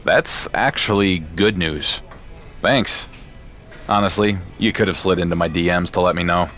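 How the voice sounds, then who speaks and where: An actor playing a young champion wrestler who talks in a dry, sarcastic way. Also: male voice, deep voice, a little slow, fairly bright tone, faint music behind.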